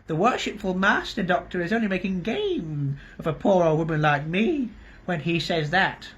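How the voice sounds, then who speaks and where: A shrill whining old hag. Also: male voice, sing-song, normal speed, fairly bright tone, slightly rough, highs cut off, heavily acted. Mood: wheedling.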